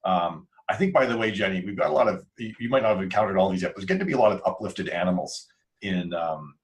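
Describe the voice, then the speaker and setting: Low-voiced man chatting casually. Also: animated, fast talker. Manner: rambling, self-interrupting. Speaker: author, podcast crew member. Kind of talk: casual podcast chat.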